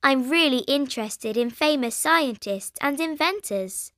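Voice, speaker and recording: A techie 12 yr old girl, high bright voice, slow. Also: expressive, dry recording, clean studio sound, exaggerated voice. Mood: friendly. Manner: slow, clear, careful delivery. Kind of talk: scripted coursebook audio. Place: recording studio.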